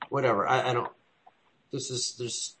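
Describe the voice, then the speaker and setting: A man's voice: medium pitch, steady tone, normal speed, pushed and bright, slight background noise. A man running a weekly business meeting, rambling with frequent restarts and trailing asides. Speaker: meeting leader.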